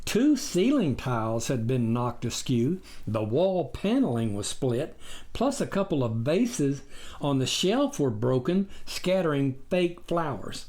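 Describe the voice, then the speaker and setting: Male author-narrator for a dramatic memoir reading: measured and slow, with very varied pitch. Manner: deliberate, expressive. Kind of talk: scripted storybook narration, dramatic audiobook reading.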